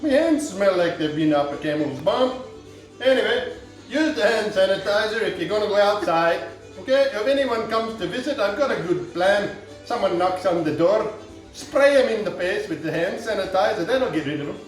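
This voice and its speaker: A man voicing a boastful comic Irish home cook in a put-on Irish accent, rambling through a cooking demonstration with deadpan absurd asides. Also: sits high, normal speed. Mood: jokey. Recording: echoey room, somewhat far from mic, faint music.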